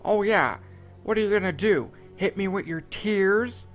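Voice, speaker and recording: Shouting sneering squid neighbor, grumpy adult male, pitched up, voiced by a man. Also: very fast, soft background music, lightly acted. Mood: yelling. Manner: yelling, sneering.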